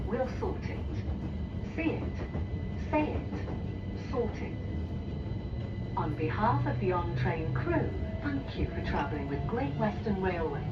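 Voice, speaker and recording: A British woman making scripted train and station announcements in a measured, formal manner. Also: very animated, loud music behind the voice. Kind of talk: scripted public transport announcements. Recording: muffled, far from mic, loud train noise. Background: loud train rumble and carriage ambience.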